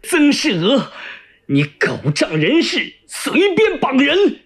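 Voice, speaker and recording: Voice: sits high, huge pitch swings, takes it slowly, voice pushed up, exaggerated voice. A storyteller voicing a hot-tempered, defiant male army officer who taunts and hurls insults. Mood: furious.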